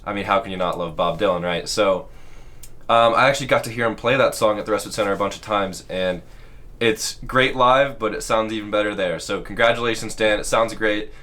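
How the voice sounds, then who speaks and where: A male radio host with a fairly low voice, talking in an easy, friendly way from the studio. He leans on small fillers as he introduces his guest and keeps the chat loose. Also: brisk. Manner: relaxed, friendly, with frequent fillers. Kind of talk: casual radio interview.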